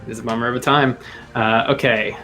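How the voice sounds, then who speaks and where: A man improvising tabletop game narration as game master, telling the scene in a halting, descriptive way. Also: medium pitch, fairly even intonation. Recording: music behind the voice. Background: music.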